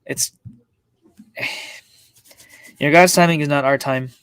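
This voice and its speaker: A man hosting a personal livestream, talking casually and confidingly to viewers in a halting, reflective way, often circling back and repeating his words. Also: a little high, steady tone, fairly bright tone, clean tone, no echo. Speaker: host of a personal live-streamed show. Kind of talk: casual, confiding livestream talk to viewers, reading chat comments.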